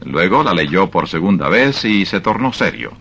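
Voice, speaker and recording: A man's voice: sits low, sing-song, normal speed, bright, forceful tone. A man narrating a scripted radio drama as its storyteller.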